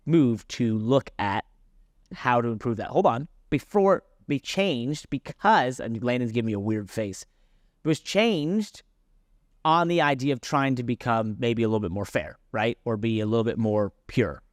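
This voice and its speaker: A man with a medium-pitched voice talks on a casual motorsport podcast. He explains his position point by point and often doubles back to clarify it. The sound is dry, with almost no room on it. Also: animated, moderate pace, no echo. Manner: explanatory, self-correcting, interjecting. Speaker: podcast co-host and racing driver. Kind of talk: casual sports podcast discussion.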